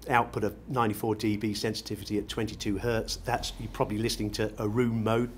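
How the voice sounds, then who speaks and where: A man's voice: on the low side, level tone, fast talker. A middle-aged British man explaining his work in an unscripted interview, articulate and ornate in his wording. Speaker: loudspeaker designer being interviewed. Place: large glass-roofed exhibition show room. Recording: noisy exhibition room ambience.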